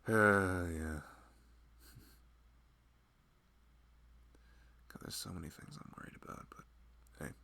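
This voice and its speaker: Give this one a very low male voice with a gravelly fry , a man acting the part. He is a devoted but nervous young husband in an intimate ASMR roleplay. He rambles softly, like someone talking privately to his partner. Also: normal speed, a little hiss or hum, exaggerated voice. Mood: tender, hopeful. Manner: rambling, soft-spoken, chuckling, often drifting toward a murmur.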